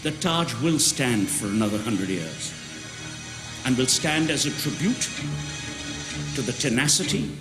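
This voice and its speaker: A man reading a book excerpt aloud at a launch event, with a measured, emphatic delivery. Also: mid-range voice, very animated. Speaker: guest reader. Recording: roomy hall with music behind.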